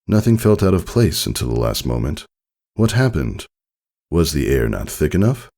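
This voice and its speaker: A man narrates a story in an extremely deep voice with a creaky edge. His delivery is dramatic and deliberate, with expressive swings in pitch that carry the scene along. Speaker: narrator reading fan fiction.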